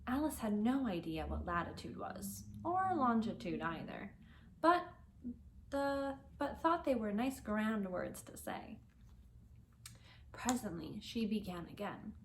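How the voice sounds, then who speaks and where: A woman reading a children's story aloud as a teacher would, with expressive, deliberate phrasing for learners. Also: mid-range voice, slight background noise.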